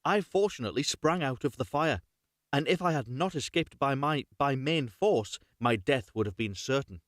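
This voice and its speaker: A man's voice: medium pitch, animated, normal speed, no room sound, clean studio sound, close to an everyday voice. Man voicing a formal, dramatic talking coal. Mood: relieved, grave. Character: talking coal, narrow survivor of the fire.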